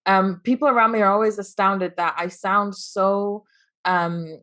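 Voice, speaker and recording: A woman's voice: medium pitch, fairly even intonation, measured pace, fairly bright tone. A young woman from Taiwan with an American accent, chatting as an interview guest, laughing often and restarting her sentences. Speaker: guest, entrepreneur. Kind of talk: casual interview chat.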